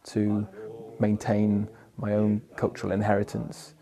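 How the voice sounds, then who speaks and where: A man from West Wales, a folk musician, speaking in a measured, thoughtful way with hesitant pauses. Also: lowish voice, completely flat delivery, vocal fry, crowd noise. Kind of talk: reflective spoken appeal for a project. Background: plucked guitar music.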